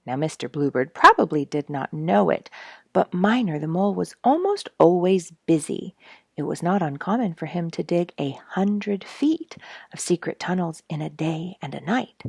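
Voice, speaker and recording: Female children's storyteller: low, slightly gravelly, very lively intonation, expressive read-aloud narration. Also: moderate pace, no room sound, clean studio sound.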